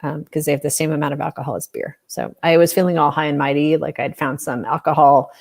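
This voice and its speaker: Chatty rambling woman, lowish voice. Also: fairly even intonation, fast talker, creaky, a little mellow. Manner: chatty, rambling, self-mocking. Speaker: podcast co-host. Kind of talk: casual chat over a video call.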